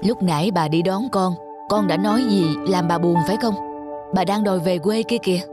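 A woman's voice: lowish voice, fairly quick, natural voice. A woman voicing a patient but firm young father in a children's cartoon, speaking in an earnest, explanatory manner. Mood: reproachful. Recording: music behind the voice. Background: background music.

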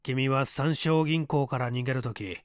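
A man's voice: mid-range voice, normal speed, no echo, lightly acted. A cool, commanding tough man , voiced by a male reader, talks in a leisurely manner. His delivery is composed and lecturing, as in a crime audiobook, with a close, dry sound. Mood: stern, unhurried. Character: calm, unhurried tough man coolly lecturing his beaten foe.

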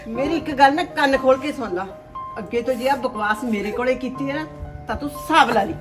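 A woman's voice: sits high, fairly quick, music in the background, close to an everyday voice. A woman playing a stern, scheming mother-in-law in a family drama, accusing and scornful.

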